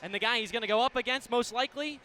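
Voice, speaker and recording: An American man doing live radio play-by-play of a game in clipped descriptive phrases, ready to burst into excited calls. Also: very high voice, very fast, faint music behind. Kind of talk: live sports play-by-play commentary. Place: indoor stadium broadcast position. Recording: live radio with steady venue noise.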